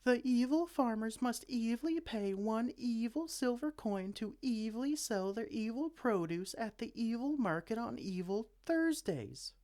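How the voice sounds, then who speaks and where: A man's voice: very high voice, animated, unhurried, few pauses, darkish tone. A man playing a dorky, petulant evil king in a comedic fantasy roleplay, pompous, theatrical and exasperated. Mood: gleefully menacing. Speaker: amateur roleplay audio performer.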